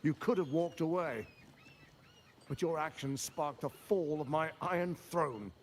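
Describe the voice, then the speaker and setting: An actor playing a menacing, pompous tyrant villain in a dramatic video game scene. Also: male voice, on the high side, unhurried, slight background noise, lightly acted. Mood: menacing, bitter. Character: vengeful, grandiose tyrant villain, menacing and theatrical. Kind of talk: dramatic game cutscene acting.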